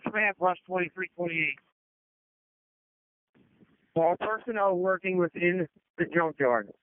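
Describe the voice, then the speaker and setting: Higher-voiced man on fire radio. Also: measured pace, no room sound. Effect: two-way radio. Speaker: firefighter, division officer. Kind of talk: emergency radio traffic. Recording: tinny narrowband fire radio transmission.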